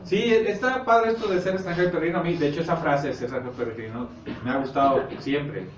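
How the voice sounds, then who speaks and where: A man with a Mexican accent preaching informally and explaining scripture in a conversational, halting way with rhetorical check questions. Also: fairly high pitch. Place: echoey indoor congregation room. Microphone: distant microphone. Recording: echoey, noisy, muffled room recording from far off.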